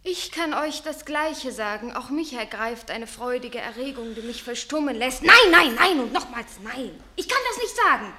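High-voiced, haughty leading lady. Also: expressive, moderate pace, few pauses, sharp tone. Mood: coolly reciting. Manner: haughty, sharply articulated. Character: proud, headstrong leading actress with a temper. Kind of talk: theatrical radio drama acting.

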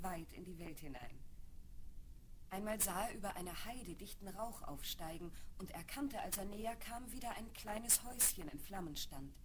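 A woman narrating a children's fairy tale from a script in a measured storytelling manner. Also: mid-range voice. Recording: noisy 1970s vinyl record.

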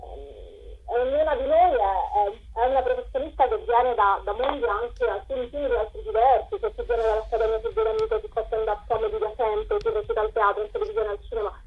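A woman's voice: fairly high pitch, sing-song, a little fast, slightly rough, phone-quality sound. A woman being interviewed as a writer and performer, talking spontaneously and enthusiastically, often searching for words. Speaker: interview guest, writer and performer presenting her collective's show. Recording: thin band-limited radio or phone sound.

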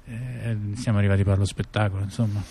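A man's voice: on the low side, fairly even intonation, fast talker, gravelly, dark tone, slightly rough. A man, a theatre performer being interviewed, telling stories in a chatty, rambling, colloquial way. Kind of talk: casual spontaneous storytelling in an interview.

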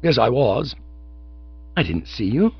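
A man's voice: mid-range voice, sing-song, a little slow, breathy edge, dry recording, pitched above the performer's own voice, heavily acted. A man voicing a cheerful young man-about-town who teases his friend. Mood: jovial. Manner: jovial and teasing. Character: cheery young male clubman, breezy. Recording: dull lo-fi audio.

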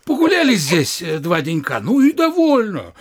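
A man's voice: sits high, huge pitch swings, normal speed, heavily acted. A man playing a wary, coaxing manservant in an audio drama, folksy and familiar yet deferential. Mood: anxious coaxing. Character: wary, coaxing manservant urging his master to leave. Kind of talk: theatrical audio drama acting.

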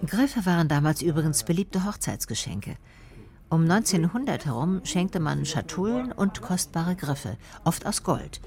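A woman's voice: on the low side, sing-song, a little slow, darkish tone. A woman reading scripted narration for a radio documentary.

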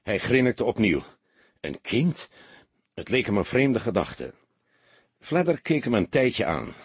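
A man's voice: lowish voice, very animated, a little slow, breathy edge, no room sound. A man reading a crime story aloud as an audiobook narrator, in a dramatic scripted style.

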